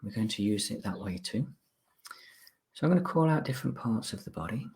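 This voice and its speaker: A man instructing listeners through a guided body scan relaxation, speaking politely in short phrases with pauses. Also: fairly low pitch, expressive, gravelly, a little mellow.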